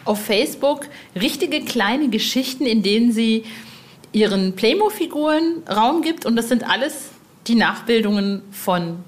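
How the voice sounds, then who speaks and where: A woman's voice: fairly high pitch, expressive, a little slow. A woman hosting a casual podcast interview in a playful, effusive and colloquial way. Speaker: podcast host.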